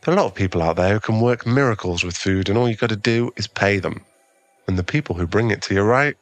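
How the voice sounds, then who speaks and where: A low-pitched, closely recorded male voice with soft music behind it. He is an actor playing a flustered, kind young gym owner in an ASMR boyfriend audio drama. His delivery is earnest and hesitant, stumbling over words as someone with a crush would. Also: fast, dry recording, natural voice. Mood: reassuring. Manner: stammering, hesitant, earnest, conversational.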